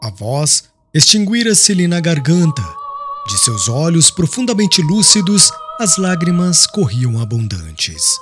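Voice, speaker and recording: Slow, expressive male narrator over music. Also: fairly high pitch. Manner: solemn, literary, mournful delivery. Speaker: audiobook narrator. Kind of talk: dramatic scripted narration.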